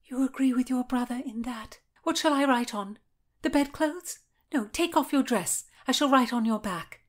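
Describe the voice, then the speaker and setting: A doomed young noblewoman of the Tudor court, put on by a woman narrator, pitched high and full of drama. She is willful and imperious, a storybook heroine who demands her way. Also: normal speed.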